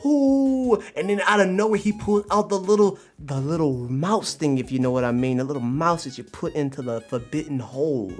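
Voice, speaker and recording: A male streamer doing a casual, slangy livestream review, exclaiming in an animated way and repeating his phrases over and over. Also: a little high, a little fast, hardly any pauses, faint music behind. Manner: slangy, informal talk.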